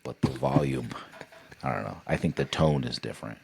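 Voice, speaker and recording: A man's voice: a bass voice, moderate pace, gravelly, husky. A young adult man co-hosting a podcast, telling stories in a casual chat and jumping into quoted voices. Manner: animated, jumps into quoted voices. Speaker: podcast co-host.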